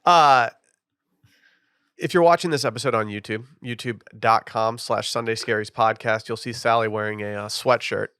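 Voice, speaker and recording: A man's voice: mid-range voice, fairly even intonation, moderate pace, bright, forceful tone, clear, resonant tone, dry recording, studio quality. A man hosting a podcast, chatting loosely with lots of fillers and reading an ad. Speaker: podcast host. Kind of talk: casual podcast chat with ad reads.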